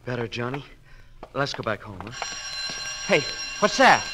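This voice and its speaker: An actor in a 1940s radio crime drama playing a frightened young kid brother. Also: male voice, mid-range voice, very animated, a little slow. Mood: uneasy. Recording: noisy old radio broadcast.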